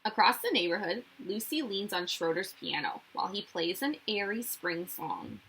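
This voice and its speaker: A woman reading a picture book aloud to children in an expressive narrating style. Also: medium pitch, moderate pace, husky, poor audio. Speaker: children's story reader.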